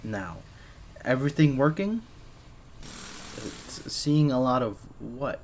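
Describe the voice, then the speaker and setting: A man's voice: medium pitch, animated, slow, darkish tone, a little hiss or hum. A man playing a shy, easily flustered gadget maker for a secret agent in an audio roleplay, speaking nervously and stammering. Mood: earnest, caring. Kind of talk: scripted ASMR roleplay, one side of a dialogue.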